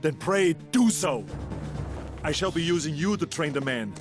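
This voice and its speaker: A stern drillmaster with a slightly raised voice, as a man acts him out, formal and disdainful. Loud music plays under him. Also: a little high, animated, normal speed, close to an everyday voice. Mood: haughty. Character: stern male Prussian drillmaster, foreign officer.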